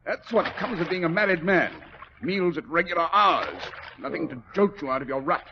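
High-pitched, brisk British gentleman detective. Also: lively intonation. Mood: brisk, teasing. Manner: brisk, clipped, drily teasing. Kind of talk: 1940s radio drama acting. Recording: muffled, hissy 1940s radio recording.

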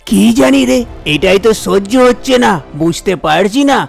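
A man's voice: high voice, expressive, normal speed, vocal fry, soft background music, exaggerated voice. A man voicing an anxious, idle young village son in a cartoon story. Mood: puzzled, anxious. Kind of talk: Bengali cartoon story dialogue.

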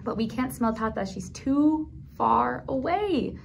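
Casting: woman, 30s, children's storytime reader, gentle and unhurried, very animated pitch, small room with some background noise. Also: gravelly. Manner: gentle, simple phrasing aimed at small children, with questions. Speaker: children's librarian, storytime host. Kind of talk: toddler storytime, read-aloud with commentary. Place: small indoor room at a library.

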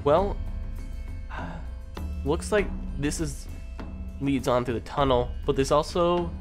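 A young man doing excited, improvised roleplay commentary over a video game, urgently shouting commands to teammates. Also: a little high, slow pace. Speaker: gaming video host playing a roleplay game. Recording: loud music behind the voice. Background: loud music.

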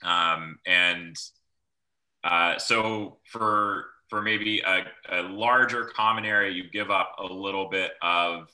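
Young male planner, low pitch, sharp forceful tone, halting informal explainer over video call. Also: steady tone, poor audio. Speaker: rural planner presenting at a public meeting. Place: small room at home, on a video call. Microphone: computer microphone on video call.

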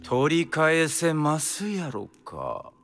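A haughty captor with a Kyoto accent in a radio drama. His needling lines come slowly in a fairly high, lilting voice, over music. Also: wildly swooping pitch, full character voice. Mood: cool challenge.

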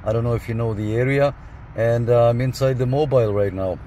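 A man's voice: mid-range voice, fairly quick, heavy background noise. Man on CB radio in a parked car. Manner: repeats radio jargon and phrases. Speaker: CB radio operator. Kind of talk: informal radio contact chat. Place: inside a parked car in a parking lot. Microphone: camera beside the dashboard radio.

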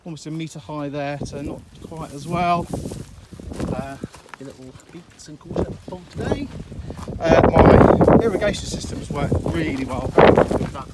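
A man's voice: on the high side, moderate pace, very noisy. Rambling man touring his allotment. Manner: relaxed and rambling, with frequent uhs. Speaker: allotment gardener giving a tour. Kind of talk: casual walkthrough narration. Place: outdoor allotment and polytunnel. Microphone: handheld phone camera, filming while walking.

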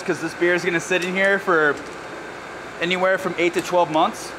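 A man in his thirties working as a brewer, explaining barrel filling in a relaxed, casual way on a brewery floor. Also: a little high. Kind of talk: hands-on explanation. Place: large brewery floor with steel tanks, indoors. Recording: clear machinery and air-con noise.

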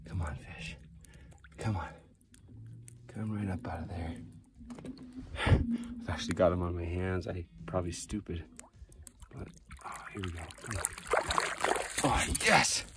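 An American man in his thirties narrating a casual fishing vlog outdoors on a frozen lake, filmed on a handheld phone and at times hushed to a murmur. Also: low voice, very noisy. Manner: easygoing, drops to a hushed murmur while landing a fish. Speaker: fishing vlogger. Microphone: handheld phone camera.

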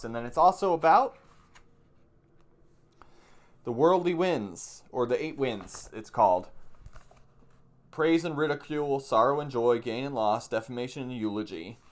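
A man around forty, a plain-spoken meditation teacher who reads aloud and explains things informally, repeating points for emphasis. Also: medium pitch. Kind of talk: reading aloud from a book with informal commentary. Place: small room at home, indoors.

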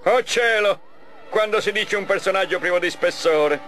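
Pompous high-pitched villain scientist. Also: male voice, moderate pace, fairly bright tone, faint music behind. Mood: haughty, menacing. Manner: pompous, theatrical. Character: scheming, theatrical villain mad scientist, short middle-aged man. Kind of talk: Italian cartoon dub for a video game.

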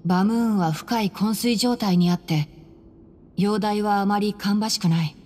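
Calm, high-voiced youthful scholar. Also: male voice, normal speed. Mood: grave. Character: calm, tactful youthful male scholar with medical knowledge. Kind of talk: Japanese anime game voice acting.

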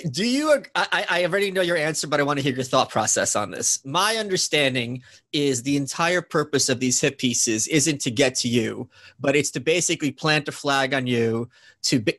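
A man in his thirties hosting a casual interview over a video call, lively and pointed in his questions. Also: mid-range voice, normal speed. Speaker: host. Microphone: video call, earbuds.

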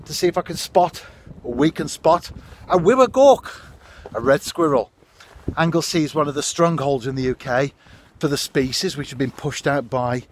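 A middle-aged man talks casually into a phone held at arm's length on coastal rocks. His voice sits a little high for a man, and he chats at an easy pace with little asides to the viewer. A faint outdoor hiss runs under his voice. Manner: chatty and unhurried, with asides to the viewer. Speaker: fishing vlogger. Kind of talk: casual outdoor narration to camera. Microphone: phone camera at arm's length.

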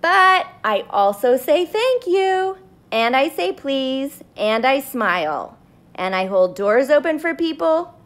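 A woman plays a little sunflower seed, grumpy but trying to be good, confessing its story from a children's book. The delivery is dramatic and slow, with big swings in pitch. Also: sing-song, a bit bright, full character voice. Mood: earnest, hopeful. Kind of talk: children's storybook read-aloud.

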